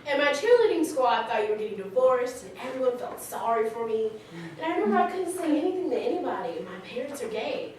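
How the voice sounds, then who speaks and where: A woman's voice: high voice, lively intonation, quick pace, darkish tone. A woman playing a candid, informal thirty-something daughter reminiscing conversationally in a staged reading. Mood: animated recollection. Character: candid, teasing thirty-three-year-old suburban daughter. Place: live staged reading in a large room. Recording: echoey, noisy hall from far off.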